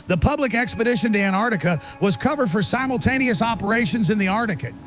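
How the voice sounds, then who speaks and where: A man's voice: sits high, animated, quick pace, soft background music. A man acting an earnest, insistent conspiracy researcher being interviewed as a guest in an audio drama.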